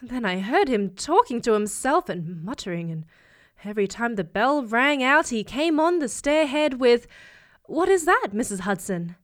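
A woman's voice: a little high, big pitch swings, normal speed. A woman playing a fretful, deferential working-class Victorian housekeeper in an audio drama, anxious and rambling as she talks. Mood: worried.

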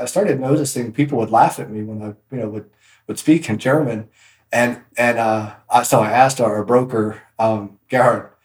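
A man's voice: mid-range voice, roomy sound. A man guest on a podcast, telling stories about his work in a casual, rambling way with many restarts. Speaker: podcast guest, real estate agent. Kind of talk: casual interview storytelling.

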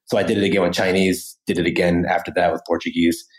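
A man from the United States, a podcast guest, telling his story in a casual, animated way with many "right?" and "you know" asides. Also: sits low, fast.

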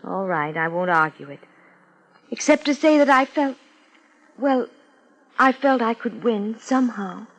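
High-voiced, intense radio beauty queen. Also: lively intonation, a little slow, long pauses, low-quality recording. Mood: defensive. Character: intense, defensive young female beauty contestant. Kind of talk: 1950s radio drama acting.

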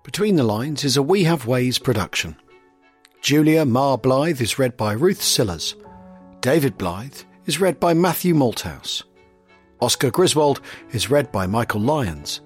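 A man's voice: medium pitch, big pitch swings, a little slow, soft background music. A man presenting a podcast in scripted narration, introducing readings and giving credits.

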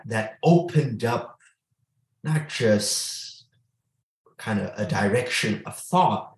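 A man who grew up in Ethiopia, an author speaking slowly and thoughtfully as a guest in an interview. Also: lowish voice, fairly bright tone, roomy sound, poor audio. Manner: slow, thoughtful, with frequent restarts. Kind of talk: reflective interview answer.

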